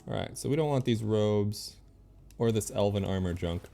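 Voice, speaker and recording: A low-voiced man with a lot of fry and a mellow tone, streaming a game. He thinks aloud casually and easily, with faint game music behind him. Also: normal speed. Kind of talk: casual play-by-play chat, reading game text aloud.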